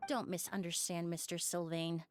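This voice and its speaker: A woman playing a poised, shrewd young perfumer in a video game, polite, deliberate and coolly pointed. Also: fairly low pitch, level tone, over music, lightly acted. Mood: earnest, firm.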